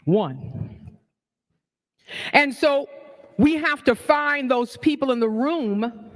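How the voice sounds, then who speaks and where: A woman's voice: high voice, a bit bright. A woman in her sixties, from New York, speaks at a community forum with passion and conviction. She works the room with rhetorical questions and draws the audience in. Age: 60s. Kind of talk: public speech at a community forum.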